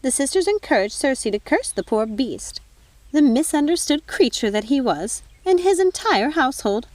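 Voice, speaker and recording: Brief: storybook fairy godmother, old, prim and bossy, very high and swooping, full of officious indignation. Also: sing-song, normal speed, a little mellow, no room sound. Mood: officious outrage.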